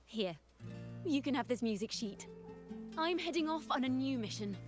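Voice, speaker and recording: An actress playing a plucky, affectionate young wandering bard in a video game, earnest and playful. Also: female voice, high-pitched, lively intonation, moderate pace, music in the background. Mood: friendly.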